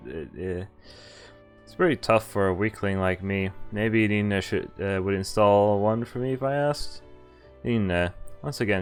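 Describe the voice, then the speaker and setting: A young man live-streaming a game, switching between reading narration aloud and casual, jokey, hesitant asides. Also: on the low side. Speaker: streamer playing and reading a visual novel. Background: game music.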